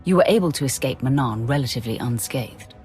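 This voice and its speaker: A woman's voice: rumbling low, animated, quick pace, darkish tone, faint music behind. An actress playing a confident, imperious female Sith warrior in a video game, haughty and assured. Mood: firm.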